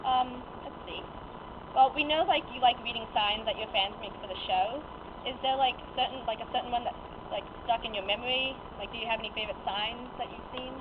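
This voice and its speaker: A woman's voice: on the high side, quick pace. A young woman asking casual interview questions in an informal, hesitant way over a phone line. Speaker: fan-site interviewer. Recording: tinny noisy phone line.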